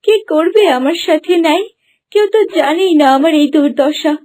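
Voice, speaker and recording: A woman's voice: high-pitched, moderate pace, a little mellow, close to an everyday voice. A woman voicing a sorrowful young maiden turned talking flower in a fairy-tale cartoon, speaking plaintively.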